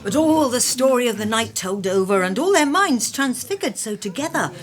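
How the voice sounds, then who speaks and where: An actress playing a gentle noble bride in a Shakespearean radio play, speaking in a measured, theatrical way. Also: female voice, on the high side, very animated. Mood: thoughtful.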